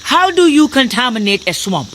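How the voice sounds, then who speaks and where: An actress playing a sly waitress who runs a swamp clam bar, taunting and playfully sardonic, in an audio drama. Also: female voice, high voice, very animated, moderate pace, fairly bright tone. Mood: mocking. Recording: clearly noisy outdoor night ambience with insects. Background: crickets and insects.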